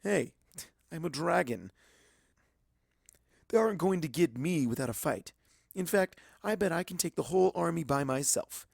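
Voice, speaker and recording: A man's voice: fairly high pitch, lively intonation, normal speed, creaky, pauses often, no echo, clean studio sound, pitched above the performer's own voice. A man voicing a brave young male dragon in a story reading, earnest and cocky to cover his fear. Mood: brave bravado. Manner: earnest, bravado over fear. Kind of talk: dramatic fan fiction reading.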